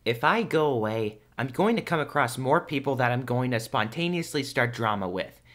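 Man acting young male hedgehog, medium pitch, anxious, earnest, pleading, audio drama. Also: expressive, moderate pace, fairly bright tone, lightly acted. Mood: weary, earnest.

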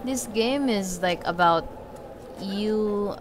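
A woman's voice: medium pitch, slow pace, noisy background. A woman giving casual, chatty commentary as she plays a video game, thinking aloud and often trailing off mid-thought. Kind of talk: casual let's-play commentary. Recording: game music behind the voice. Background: video game music.

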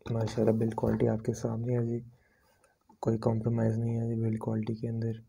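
A man with a Pakistani accent presenting a product unboxing as a shop's sales pitch, politely and in formulaic patter mixed with English words. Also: completely flat delivery, fast talker, gravelly, heavy background noise. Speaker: online shop presenter.

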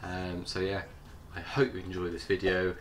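Hoarse guy with a cold, kinda low voice, rambling vlog intro with lots of ums. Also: monotone, normal speed, a little hiss or hum. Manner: chatty, hesitant with many fillers. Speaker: channel host.

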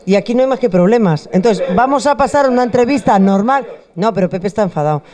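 A woman's voice: mid-range voice, animated, quick pace, a crowd in the background. A middle-aged woman, hosting a food show in a bar, chatty and joking, speaking into a handheld mic. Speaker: host. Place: bar with wine shelves, indoors.

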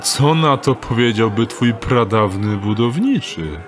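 A wry, unhurried thief who talks slowly and dryly in a lowish voice. He mocks his companions with calm confidence. A faint ambience sits behind him. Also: background noise. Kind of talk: audio drama acting.

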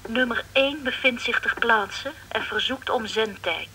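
An actress playing an efficient, businesslike radio station assistant in a radio drama, announcing calls and bulletins formally. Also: female voice, a little high, a little slow, noisy background, natural voice.

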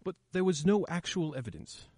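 A man playing a calm, considerate police inspector in an old-time radio play, measured and reassuring as he explains. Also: a little high, no room sound, clean studio sound. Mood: grave, explanatory.

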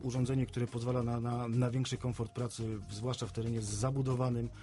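A man with a soft, mellow voice is a guest expert on a radio interview. He explains in a mid-pitched voice and often doubles back on his words. Faint music plays behind him. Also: little pitch movement, brisk. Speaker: CB radio specialist, studio guest. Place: radio studio.

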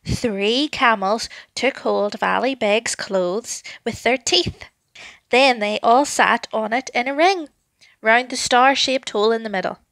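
A woman narrating a children's story with an expressive, deliberate, dramatic delivery. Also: on the high side, creaky, no room sound.

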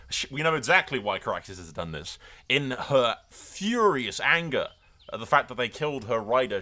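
A man's voice: on the low side, bright, forceful tone. A man hosting a gaming video, giving casual, informal commentary that retells a roleplay story in a sweary, tongue-in-cheek way. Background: background music.

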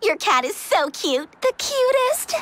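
Very high-pitched bubbly teenage girl. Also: sing-song, measured pace, heavy background noise, full character voice. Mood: bubbly, upbeat. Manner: gushing, breezy. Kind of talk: animated game cutscene acting. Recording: music under the voice.

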